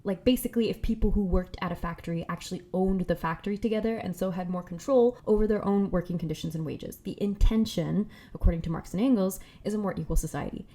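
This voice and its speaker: A young woman with a middle-pitched voice explains ideas to her viewers in a casual, chatty video essay. She talks in slang and throws in animated asides as she makes her case.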